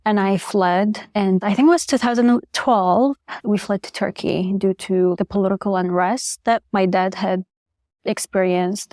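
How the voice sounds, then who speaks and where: Soft-spoken young woman from Iraq. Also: medium pitch, dry recording, studio quality. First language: Chaldean. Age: young adult. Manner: soft-spoken, reflective, frequent "like" and restarts. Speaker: guest, public health researcher. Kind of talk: interview conversation.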